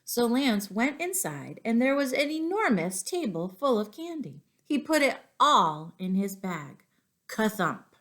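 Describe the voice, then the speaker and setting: Slow-paced woman reading to young children. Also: on the high side. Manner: expressive, deliberate storyteller addressing young children. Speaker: children's school story-time teacher. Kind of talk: children's read-aloud of poems and picture books.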